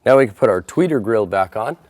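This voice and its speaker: A man's voice: fairly low pitch, expressive, a little fast, darkish tone, slight background noise. A man presenting an installation tutorial, talking through each step in a plain, methodical, procedural way. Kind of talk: step-by-step instructional narration.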